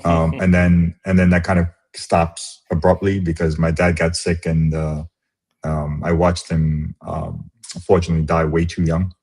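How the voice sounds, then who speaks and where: A middle-aged man, born in Romania and raised in the US, speaking as an interview guest in a reflective, halting way with many restarts. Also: sits low, flat delivery, darkish tone, breathy edge. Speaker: guest, business executive. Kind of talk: casual interview conversation.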